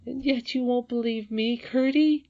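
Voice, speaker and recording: A woman's voice: medium pitch, very animated, moderate pace, muffled recording, pitched above the performer's own voice, lightly acted. A woman voicing an earnest young princess in a storybook, eager and easily wounded. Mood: tearful, pleading. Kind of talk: storybook character reading.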